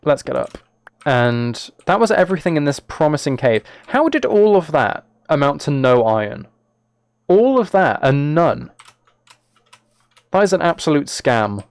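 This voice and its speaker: A man's voice: fairly high pitch, very animated, unhurried, smooth, no room sound. A young British man chatting casually on a gaming livestream, with a wry, playfully exasperated way of talking. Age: late teens to early 20s. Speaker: live streamer.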